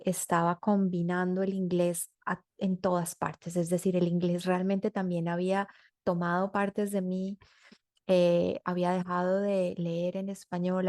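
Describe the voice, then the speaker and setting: A woman's voice: lowish voice, mellow, no room sound, clean studio sound. A Colombian woman guest in her forties, telling her story thoughtfully and searching for her words. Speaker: interview guest, mother. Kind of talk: conversational interview.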